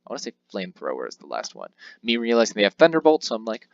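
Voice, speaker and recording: A man's voice: lowish voice, wildly swooping pitch, racing, creaky, no echo, muffled recording. Rambling young man narrating his game. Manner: rambling, self-deprecating, reads game text aloud in voices. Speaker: let's play host. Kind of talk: casual gameplay commentary.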